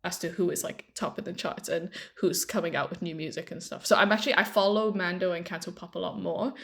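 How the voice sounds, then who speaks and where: Woman, from Hong Kong, young adult, fairly low voice, thoughtful, long explanations, casual chat. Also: fast, vocal fry. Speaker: podcast co-host. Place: London.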